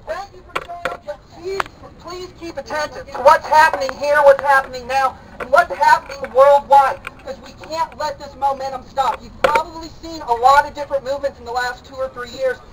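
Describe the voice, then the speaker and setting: A man's voice: very high voice, steady tone, a little fast, hardly any pauses. A young man giving a rousing rally speech through a megaphone at an outdoor protest, calling for the crowd's response. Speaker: rally speaker. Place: outdoor city plaza protest. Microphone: handheld megaphone, caught by a camera some way off. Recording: distant megaphone amid a noisy crowd.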